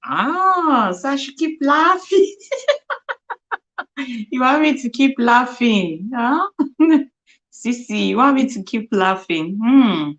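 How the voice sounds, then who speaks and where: A woman's voice: a little high, animated, slow, pauses often, a bit bright, dull audio. A young woman from Nigeria with a Nigerian accent and Igbo as her first language, hosting a casual livestream chat, laughing often and repeating words as she sounds them out. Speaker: livestream host.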